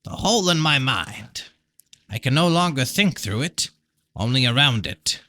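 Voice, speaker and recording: A man's voice: mid-range voice, lively intonation, a little slow, pushed and bright, dry recording, clean studio sound. A man voicing a mad old sorcerer, crafty and blunt, speaking in a dramatic, insistent way as part of a fantasy book reading. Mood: grave, urgent.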